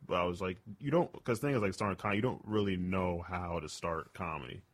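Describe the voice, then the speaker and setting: A man, a stand-up comedian, chatting casually as an interview guest, rambling with lots of "like" and "you know". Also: fast talker. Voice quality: booming voice.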